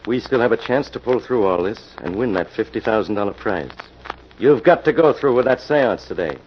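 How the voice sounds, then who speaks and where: A man's voice: on the low side, lively intonation, moderate pace, lightly acted. An actor playing a slick male schemer, cajoling and pressing, in a 1940s radio acting style. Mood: insistent. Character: slick, persuasive male schemer and con man. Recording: muffled, noisy old radio recording.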